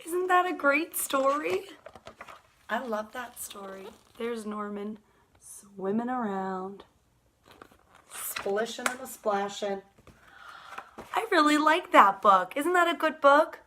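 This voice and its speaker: A woman reading a children's picture book aloud at storytime, expressive and drawn out, putting questions to her young listeners. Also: fairly high pitch, slow, long pauses, slight background noise.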